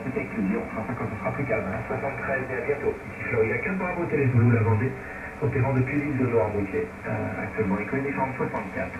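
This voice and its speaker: A man's voice: mid-range voice, echoey room, far from the mic, phone-quality sound, heavy background noise. A man who is an amateur radio operator, chatting casually and giving signal reports over shortwave.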